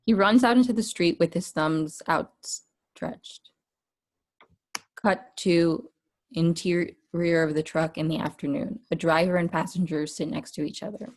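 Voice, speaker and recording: A woman's voice: on the low side, a little slow. A woman narrating a script's stage directions at a table read.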